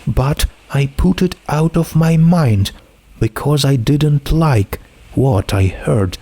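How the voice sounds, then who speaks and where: A man acts out an anxious teenage girl in a dramatic story reading. The delivery is halting and emotional, as if confiding a painful secret. The words come slowly. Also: mid-range voice, warm tone, pitched above the performer's own voice. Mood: insistent, uneasy. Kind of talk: dramatic fan fiction reading.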